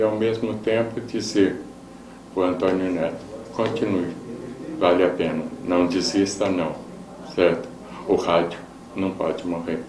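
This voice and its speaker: A man with a Brazilian accent giving a personal tribute, deliberately, in short broken phrases. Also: fairly low pitch, darkish tone. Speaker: testimonial speaker. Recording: echoey room, distant mic, faint noise.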